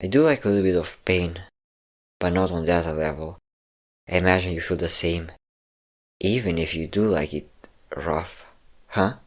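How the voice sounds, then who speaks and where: A man performs a possessive vampire lord with a deep male voice. He is mocking and smug, lingering on pet names as he teases. The delivery is intimate, in the manner of an audio roleplay about an obsessive lover. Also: natural voice. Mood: smug, teasing. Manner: smug, teasing, drawn-out endearments.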